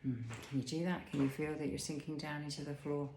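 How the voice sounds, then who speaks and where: A man leading a guided body scan as an instructor, speaking in a soothing, unhurried way with pauses and gentle questions. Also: a little high, level tone, reverberant, a little hiss or hum.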